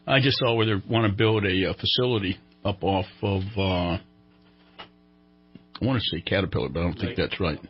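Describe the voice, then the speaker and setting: A man's voice: low voice, brisk, muffled recording. A man hosting a casual radio interview, talking in a chatty, meandering, joking way. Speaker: radio host.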